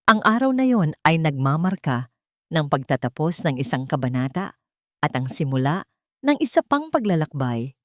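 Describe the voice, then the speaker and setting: Low-voiced Filipino woman narrating a drama. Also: very animated, normal speed, no echo, studio quality. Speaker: story narrator. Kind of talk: scripted first-person dramatic story narration.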